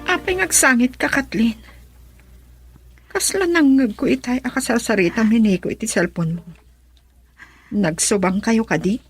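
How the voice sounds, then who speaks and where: A woman's voice: fairly high pitch, normal speed, long pauses, soft background music, close to an everyday voice. An actress with a Filipino accent playing an inquisitive, concerned older female friend in a radio drama. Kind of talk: Ilocano radio drama acting.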